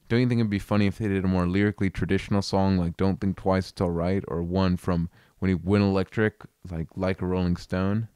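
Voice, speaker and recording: A man hosting a casual video, chatting about an album in a relaxed, amused, rambling way. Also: low voice, clean tone, no echo, studio quality. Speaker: video host reviewing an album. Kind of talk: casual commentary.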